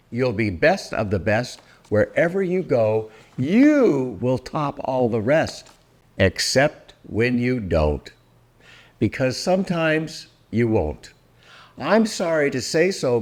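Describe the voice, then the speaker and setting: An older man performing a children's story aloud in a theatrical, deliberate, welcoming manner. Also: mid-range voice. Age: 60s or older. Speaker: storyteller and host.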